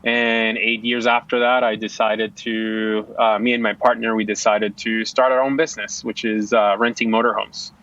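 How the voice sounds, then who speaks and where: A man's voice: fairly low pitch, little pitch movement, moderate pace, few pauses, fairly bright tone, dry recording, muffled recording. Rambling guy from Puerto Rico. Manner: chatty, rambling, many fillers. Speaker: guest. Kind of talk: casual conversation. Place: outdoors-ish home with background noise.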